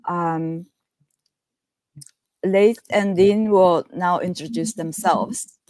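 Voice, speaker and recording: A woman's voice: fairly low pitch, fairly even intonation, drawn-out words, darkish tone, no room sound. A woman co-moderator giving opening thanks, hesitant, with many ums and uhs. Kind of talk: opening announcements.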